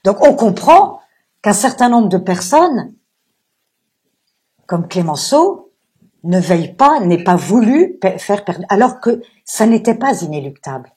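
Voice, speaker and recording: A woman with a husky voice giving an unscripted talk as a guest speaker, earnestly explaining with anecdotes and asides. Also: mid-range voice, very animated, a little slow, dark tone. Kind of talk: public lecture discussion, unscripted.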